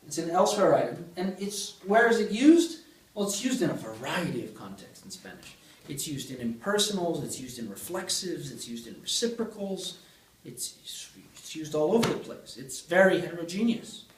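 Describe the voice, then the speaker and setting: A high-voiced man teaches a university class, patiently building up an argument and checking in with students through questions. He is captured from across a very echoey lecture hall, sounding distant from the mic. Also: big pitch swings, normal speed, slight background noise. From Greece. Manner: explains step by step, asks the class questions. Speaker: linguistics lecturer. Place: large lecture room.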